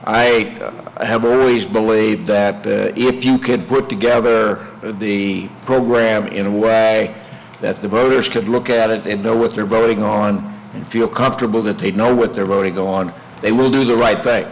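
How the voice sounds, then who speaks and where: A man making impromptu public remarks as mayor, speaking in a halting, deliberate way. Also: fairly low pitch, steady tone, gravelly. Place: public meeting room, at a podium. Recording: reverberant meeting room, background noise.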